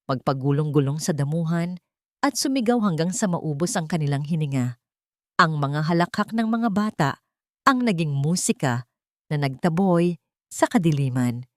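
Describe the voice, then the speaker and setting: A woman with a Filipino accent reading a scripted love story as dramatic narration, with measured phrasing. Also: sits low, darkish tone, dry recording, clean studio sound.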